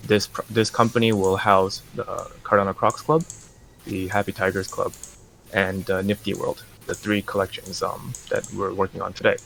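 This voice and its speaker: A man representing a project, talking through his business plans in a casual interview, measured and full of fillers and restarts. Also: low-pitched, level tone, vocal fry, fairly bright tone, slight background noise.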